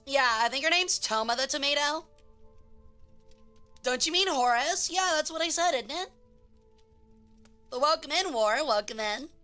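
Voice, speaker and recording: A woman streamer chatting casually with her chat in a hoarse voice, reading messages aloud. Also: high-pitched, lively intonation, normal speed, pauses often, pushed and bright. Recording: faint music behind.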